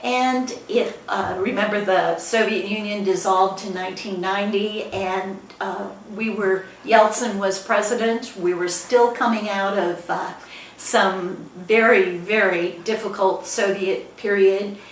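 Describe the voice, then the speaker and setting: Older American woman, author giving an informal talk, halting delivery, recorded at a distance with background noise. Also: mid-range voice, steady tone. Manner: halting, with frequent pauses mid-sentence. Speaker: guest author and former newspaper editor. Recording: echoey room, distant mic, background noise.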